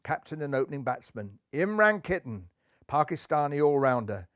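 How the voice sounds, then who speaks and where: A man's voice: fairly high pitch, very animated, moderate pace, fairly bright tone, dry recording, clean studio sound. A man narrating a children's animal story aloud in a playful, theatrical way.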